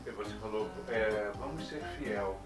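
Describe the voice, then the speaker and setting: A man's voice: lowish voice, unhurried, distant mic. A man with a Brazilian accent chatting casually from off camera as a crew member, away from the microphone. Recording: echoey off-mic voice with music behind.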